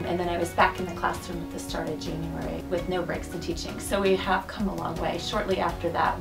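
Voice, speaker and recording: A middle-aged woman, an accounting professor, recalling her experience in a matter-of-fact way in an interview. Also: on the low side. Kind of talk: recorded interview. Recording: echoey room, distant mic, background music.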